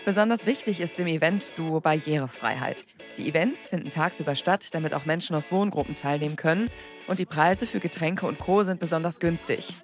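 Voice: mid-range voice, fairly quick. Voice-over narrator over music. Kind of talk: scripted narration. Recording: music bed behind voice.

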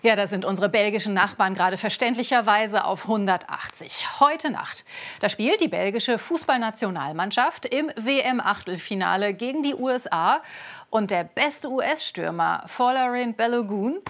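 A woman with a mid-range voice hosts a news program. She interviews a guest and moderates the segments in a brisk, conversational way. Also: mid-range voice, lively intonation, fairly bright tone. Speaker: news presenter.

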